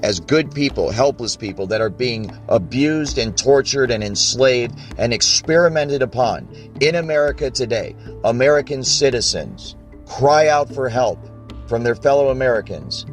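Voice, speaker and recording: A man of middling pitch speaks in an impassioned rant. He presses each point urgently and drops curses for emphasis. Faint music runs behind.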